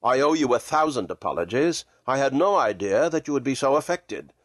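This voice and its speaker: A man voicing a lean gentleman detective in a storybook, measured, precise and nonchalant, cool and commanding. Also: mid-range voice, expressive, dry recording, clean studio sound, voice pushed down, natural voice. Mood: composed, assured.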